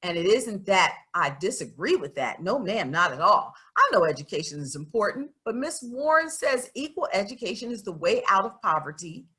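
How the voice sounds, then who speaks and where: A woman reads as an outspoken young schoolgirl in a storybook. The voice is bright and forward, with lively swings in pitch. She states her mind in a folksy, emphatic way, earnest and a bit stubborn. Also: normal speed, few pauses. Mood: earnest, questioning. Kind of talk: expressive storybook read-aloud in first person.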